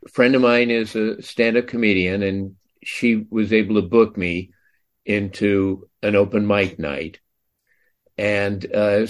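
A man hosting a podcast, chatting casually and telling a story with incredulous asides. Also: lowish voice. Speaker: podcast host. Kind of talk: casual interview chat.

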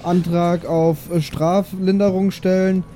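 Man as a mellow, cheeky, pestering prisoner. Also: sits high, flat delivery, slow pace, soft background music. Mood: indignant. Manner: hesitant, meandering, politely insistent. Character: chatty, cheekily polite male prisoner pestering his guards.

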